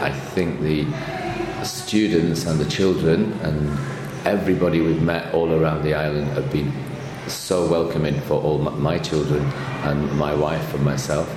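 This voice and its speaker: A man's voice: rumbling low, fairly even intonation, moderate pace. A man from the Manchester area, answering informal interview questions as a headmaster. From Manchester area, England. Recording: echoey reverberant room, clear background noise.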